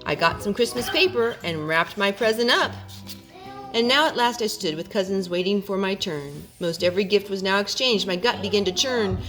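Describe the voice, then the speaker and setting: A woman hosting storytime for children, reading in an expressive storybook style. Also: mid-range voice, moderate pace. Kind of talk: expressive rhyming storybook narration. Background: music.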